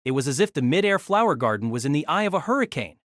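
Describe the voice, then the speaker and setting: A man's voice: fairly high pitch, big pitch swings, quick pace, fairly bright tone, no echo, studio quality. A man reading scripted narration for a fantasy story. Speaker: narrator of a fantasy audio drama.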